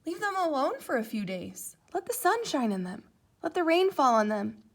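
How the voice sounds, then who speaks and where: Woman voicing a male frog, storybook friend, patient, kind, sensible, high-pitched, lilting, reassuring. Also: sing-song, moderate pace. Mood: patient, advising. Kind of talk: children's storybook character voice.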